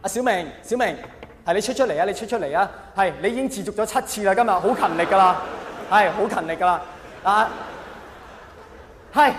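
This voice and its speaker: A man's voice: sits high, a little fast, pauses often, natural voice. A male stand-up comedian with a Hong Kong accent playing an earnest, patronising man who coaxes a teenage boy. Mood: gently coaxing. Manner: patronising, coaxing, over-patient. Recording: echoey hall reverb, faint background noise.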